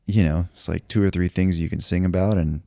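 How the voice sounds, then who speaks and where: Very deep-voiced reflective man. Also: fairly even intonation, brisk, gravelly, mellow, dry recording, studio quality. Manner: reflective, thinks aloud with many "like"s. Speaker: songwriter. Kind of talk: casual podcast conversation.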